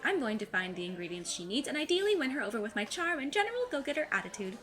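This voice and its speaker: A woman's voice: fairly high pitch, sing-song, fast, a bit bright. A woman playing a plucky, overconfident fifteen-year-old girl sleuth with a cheeky, mock-formal manner in an audio drama. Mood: confident, breezy. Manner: eager, cheeky, mock-formal, self-assured.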